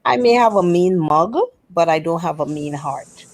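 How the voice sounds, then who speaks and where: A woman speaking as a panelist in a casual livestream chat, earnest and self-assured, listing her qualities in a measured way over an online call. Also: lowish voice, lively intonation.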